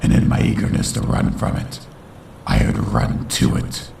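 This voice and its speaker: A man playing a brooding unicorn villain who solemnly narrates his tormented youth in a gravelly voice, as in a dramatic comic dub. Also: extremely low pitch, very animated, moderate pace, a little mellow, echoey room, far from the mic, slight background noise, exaggerated voice. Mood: ominous, regretful. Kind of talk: scripted first-person dramatic narration.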